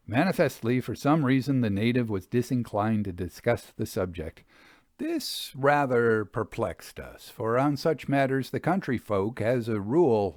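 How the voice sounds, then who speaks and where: A man reading a literary travel tale aloud in a theatrical, expressive way with deliberate phrasing. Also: medium pitch, no room sound, clean studio sound. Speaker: audiobook reader, storyteller. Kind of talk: dramatic literary narration of a Victorian travel memoir.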